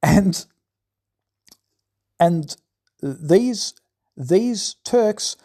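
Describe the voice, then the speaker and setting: A man's voice: sits high, animated, drawn-out words, dark tone. A man in an impassioned, scolding monologue who keeps asking "right?". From Australia. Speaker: commentator.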